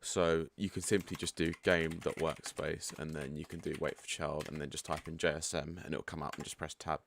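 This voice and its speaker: Croaky deep-voiced man explaining software. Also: brisk, a little hiss or hum. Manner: relaxed and chatty, frank asides. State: croaky, just woken up. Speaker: product developer giving a setup tutorial. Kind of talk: casual screen-share walkthrough.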